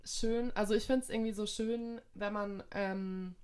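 A woman's voice: mid-range voice, fairly even intonation, measured pace, crowd noise. A young woman from Munich, co-hosting a casual podcast chat, full of fillers, restarts and youthful slang. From Munich, Germany. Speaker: podcast co-host.

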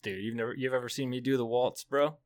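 A man's voice: medium pitch, steady tone, fast talker. A young man co-hosting a comedy podcast, teasing his friend and laughing often. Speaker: podcast co-host. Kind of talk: casual comedic chat.

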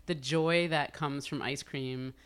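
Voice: mid-range voice, steady tone, takes it slowly, fairly bright tone, smooth. An interviewer who talks thoughtfully and at length, with frequent "like" fillers. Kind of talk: casual interview talk.

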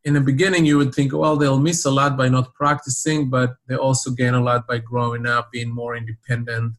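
Middle-aged man from Israel, college coach, interviewed, thoughtful and halting. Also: medium pitch, flat delivery. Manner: reflective, many fillers and restarts.

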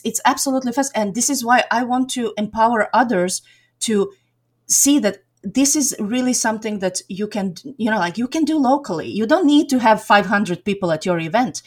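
A woman's voice: mid-range voice, expressive, moderate pace, husky. Woman, middle-aged, from Serbia, chatty, lots of fillers and restarts, casual interview talk. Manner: chatty, many fillers and restarts, laughs often. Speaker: game developer community organizer.

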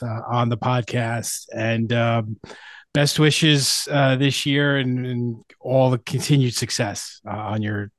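A man's voice: medium pitch, no room sound. A man hosting a sports podcast in a casual, conversational way. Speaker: podcast host.

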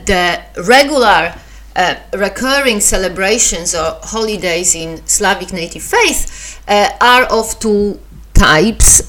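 Woman, Polish accent, podcast host, slow unhurried delivery, lowish voice, hesitant with fillers. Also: fairly bright tone. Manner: hesitant, many fillers and restarts, self-deprecating. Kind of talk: casual solo podcast talk.

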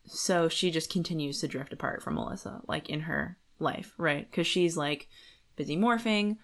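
Lower-pitched woman chatting as podcast co-host. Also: moderate pace, fairly bright tone. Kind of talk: casual book discussion.

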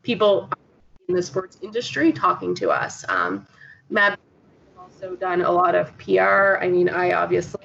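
Low-voiced Canadian woman, noisy lo-fi recording. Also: very animated, moderate pace, a bit bright. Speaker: interview guest, startup founder. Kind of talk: casual interview conversation. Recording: dull lo-fi audio with clear background noise.

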